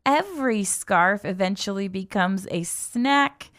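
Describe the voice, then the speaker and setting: A woman's voice: medium pitch, animated, measured pace, fairly bright tone. A woman hosting morning radio on a music station, presenting live in a casual, chatty and giggly way. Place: local radio studio.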